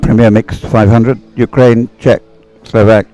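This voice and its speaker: Low-voiced man calling a race haltingly. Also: flat delivery, warm tone, soft background music. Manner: halting, broken phrases. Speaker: race commentator. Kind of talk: live race commentary, low-key.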